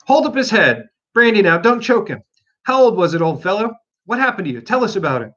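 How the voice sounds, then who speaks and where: Bustling storybook animal workmen, all done by one man, squabbling in raised, swooping tones. They are rough and chummy with each other. The recording is slightly dull. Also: high voice, very animated, fairly quick, fairly bright tone, pitched above the performer's own voice, full character voice. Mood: concerned.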